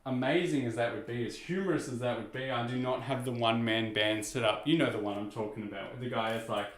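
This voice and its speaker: A man with a low speaking voice talks in a relaxed, rambling way, joking at his own expense and dropping filler words as he goes. His voice carries a noticeable echo from the room around him. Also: quick pace. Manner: easygoing, rambling, self-deprecating, many "you know" and "uh" fillers. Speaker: VTuber streamer. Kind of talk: casual chat to stream viewers while restringing a guitar.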